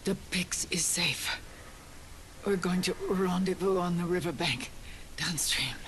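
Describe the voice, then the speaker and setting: Game role: wounded woman resistance leader, slow, breathy, halting and resolute, clear ambient noise behind. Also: fairly low pitch, a bit bright. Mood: urgent, apologetic. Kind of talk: dramatic video game acting.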